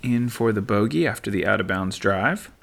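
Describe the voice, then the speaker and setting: A middle-aged man giving relaxed play-by-play commentary as a voice-over, easygoing and dry, thinking aloud as he goes. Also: fairly low pitch, normal speed, gravelly. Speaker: sports commentator. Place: voice-over, not at the course.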